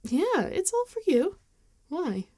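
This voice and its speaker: Caring female vampire friend, played by a woman, ASMR roleplay: mellow, hesitant, very close and dry sound. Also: very high-pitched, very animated, no echo, exaggerated voice. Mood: caring, reassuring. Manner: hesitant, gentle, halting with soft sighs. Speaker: ASMR voice actor. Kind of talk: ASMR roleplay acting, intimate and conversational.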